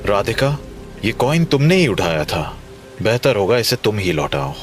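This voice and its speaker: An actor playing a calm, thoughtful man who explains things in measured horror story dialogue. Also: on the low side. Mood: calm reasoning. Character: calm, thoughtful young man working out a mystery. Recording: faint music behind the voice.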